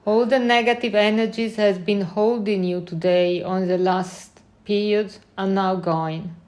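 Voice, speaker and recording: A woman leading a guided meditation in gentle, unhurried short phrases with long pauses between them. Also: mid-range voice, steady tone.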